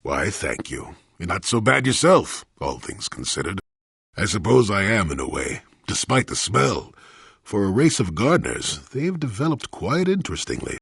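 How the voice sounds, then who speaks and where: Crusty elderly ex-Jedi hermit for a game; husky, animated, wry rambler, recorded close and dry. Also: male voice, lowish voice, normal speed, no room sound, full character voice. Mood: teasing, curmudgeonly. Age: older. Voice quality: gravelly, husky. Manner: wry, grumbling, rambling storyteller. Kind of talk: video game character dialogue.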